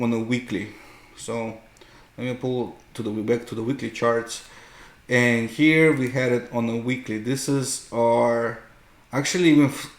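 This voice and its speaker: Slow, gravelly man explaining charts. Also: medium pitch. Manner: explanatory, often checks with "right?". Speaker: host, market analyst. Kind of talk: unscripted chart tutorial commentary. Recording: roomy, echoing room sound.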